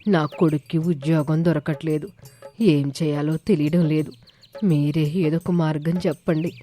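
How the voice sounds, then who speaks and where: A woman voicing earnest, trusting village women in an animated moral story. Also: low-pitched, normal speed, faint music behind, natural voice. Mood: pleading. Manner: earnest, deferential. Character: troubled, trusting village women seeking a holy man's help.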